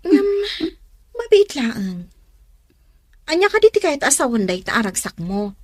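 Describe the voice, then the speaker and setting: A woman plays a chatty, teasing younger sister in a radio drama. Her voice is high and very animated, recorded close and dry. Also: unhurried, no room sound.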